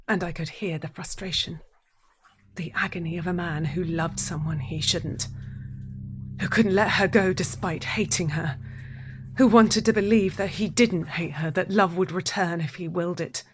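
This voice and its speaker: An actress playing a haunted, unhinged wife in a dramatic audio drama monologue, deliberate and intense, repeating phrases. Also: female voice, fairly low pitch, steady tone, lightly acted. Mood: intense, fearful. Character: haunted, unhinged wife narrating a violent confession.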